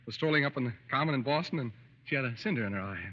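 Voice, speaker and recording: A man's voice: mid-range voice, expressive, racing, fairly bright tone, lightly acted. An actor playing a nervous, sheepish young newlywed man in old-time radio style, hesitant and stammering. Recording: muffled, faintly noisy vintage radio recording.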